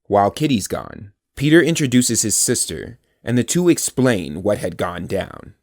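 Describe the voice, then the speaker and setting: A man's voice: fairly low pitch, very animated, normal speed, darkish tone, dry recording, studio quality. A man narrating a scripted audio drama, describing the action as a storyteller.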